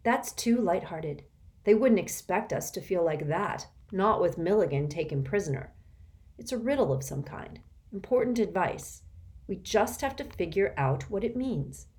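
A woman reading a calm, level-headed boy. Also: fairly low pitch, normal speed, natural voice. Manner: calm and reasoning. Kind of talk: audiobook character voice.

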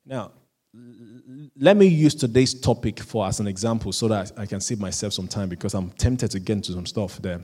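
A pastor preaching a sermon, often repeating and restarting phrases, and chuckling at himself now and then. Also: male voice, fairly low pitch. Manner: rises into louder, slower emphatic reading of scripture.

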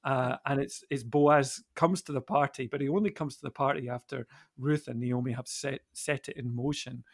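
A man's voice: mid-range voice, fairly even intonation, moderate pace, creaky. A man on a discussion panel, talking conversationally and building emphatic points through repetition. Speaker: podcast panellist.

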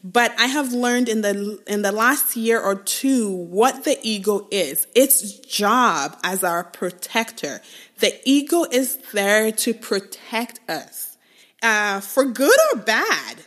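A young woman from West Africa talking alone about her life, halting and thoughtful, often restarting her phrases. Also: medium pitch, expressive, a bit bright. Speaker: podcast host. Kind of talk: reflective personal monologue.

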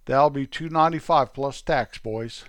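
A man's voice: medium pitch, moderate pace, a bit bright, no echo, natural voice. A man voicing a friendly grown pharmacist who is patient and careful about rules.

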